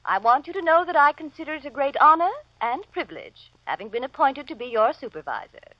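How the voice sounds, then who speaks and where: An actress playing an officious, self-important office supervisor with formal, speech-making delivery in a vintage radio comedy. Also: female voice, sits high, sing-song, brisk, no echo. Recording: muffled, lo-fi old radio recording.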